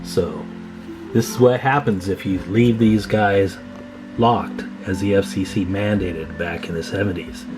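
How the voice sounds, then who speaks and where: A man with a fairly low voice talks viewers through hands-on bench work. He is casual and folksy, addressing the audience informally like fellow hobbyists. Guitar music plays clearly behind him. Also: measured pace. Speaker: host of a radio repair video channel. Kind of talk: casual hands-on workbench explainer.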